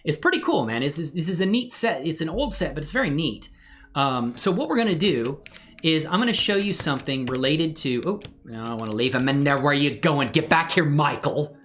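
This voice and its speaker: A woman hosting a live software tutorial, chatting casually and thinking aloud as she demonstrates. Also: sits low, animated, a little fast. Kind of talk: casual tutorial livestream chat. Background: music playing behind the voice.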